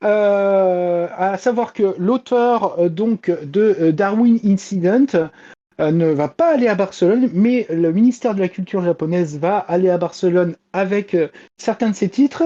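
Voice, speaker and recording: Mellow man, muffled podcast sound. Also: high-pitched, measured pace. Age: 40s. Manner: hesitant, searching for words. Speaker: podcast panelist giving manga news. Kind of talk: casual news chat. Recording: slightly dull, lo-fi voice track.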